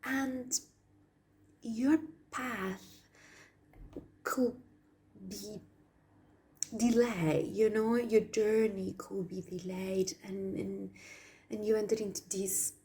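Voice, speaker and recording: A woman from Argentina with an Argentine Spanish accent, a Spanish native speaker giving a spiritual message to viewers, speaking softly and dropping into whispers on some words. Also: medium pitch, fairly even intonation. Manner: slow, soft, drops to whispers on some words. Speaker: spiritual channel.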